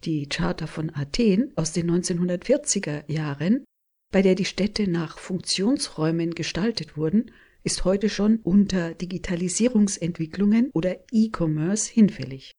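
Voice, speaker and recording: A woman hosting a radio show, reading calm and clear summaries and introductions. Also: lowish voice, normal speed. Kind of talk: scripted radio moderation.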